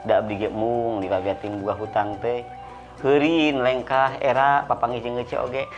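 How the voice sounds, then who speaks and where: A man's voice: medium pitch, little pitch movement, normal speed, highs cut off, over music, close to an everyday voice. A man playing a humble, poor debtor who pleads patiently and moralizes in a Sundanese folk story, with a Sundanese accent. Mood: pleading.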